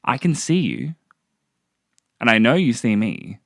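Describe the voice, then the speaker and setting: A man's voice: medium pitch, very animated, unhurried, vocal fry, a bit bright, dry recording, clean studio sound, exaggerated voice. A man playing an agitated, paranoid figure who insistently confronts an unseen watcher in a dramatic essay. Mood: frantic, demanding.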